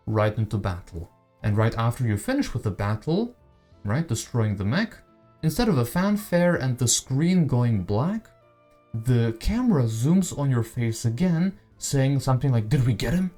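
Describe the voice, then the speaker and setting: A man's voice: medium pitch, warm tone. A man narrating a video essay on games as its creator, conversational and given to wry asides. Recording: voice-over with music behind. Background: background music.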